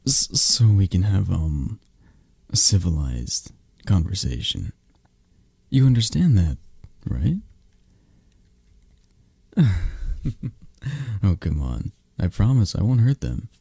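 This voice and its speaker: A man playing a possessive, menacing mafia boss lover in an ASMR roleplay, with a breathy, gravelly voice and a halting, condescending manner. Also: sits low, big pitch swings, mellow, dry recording, clean studio sound, exaggerated voice. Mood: controlled jealousy. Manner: slow, halting, controlling, condescending. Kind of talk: ASMR roleplay, intimate close-up acting.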